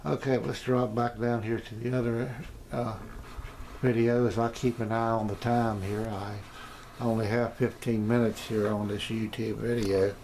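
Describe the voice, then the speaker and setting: Guy with a gravelly voice doing a casual radio demo, kinda halting, static noise around him. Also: fairly low pitch, level tone, a little mellow. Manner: halting, thinking aloud. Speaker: shortwave radio hobbyist demonstrating receivers. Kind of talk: casual unscripted video demonstration. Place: indoors beside shortwave radios. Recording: noisy room with radio static. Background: radio broadcasts and static.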